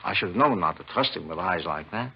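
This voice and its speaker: Husky, shaken elderly diamond dealer. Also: male voice, deep voice, expressive, brisk, exaggerated voice. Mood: bitter, regretful. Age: older. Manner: rambling, shaken, repeats himself. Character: agitated elderly male diamond dealer, robbery victim. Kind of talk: 1950s radio drama acting. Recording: dull 1950s radio recording.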